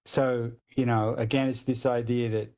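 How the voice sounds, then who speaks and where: A man's voice: mid-range voice, level tone, normal speed, darkish tone. A man speaking as a guest expert on a radio show, explaining studies at length with many hedges like kind of and you know.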